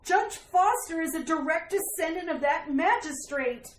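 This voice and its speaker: A woman's voice: very high-pitched, expressive, moderate pace. A woman playing a frantic, pleading wife haunted by fear of a curse in a retro radio horror play. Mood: frantic warning. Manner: pleading, breathless urgency. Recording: roomy sound with faint noise.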